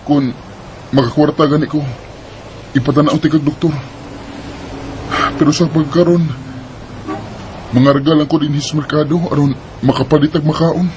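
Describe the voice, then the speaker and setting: A man's voice: a little high, steady tone, normal speed, long pauses, background noise, close to an everyday voice. A man acting a caring, hardworking elder brother in a Bisaya radio drama, earnest and reassuring.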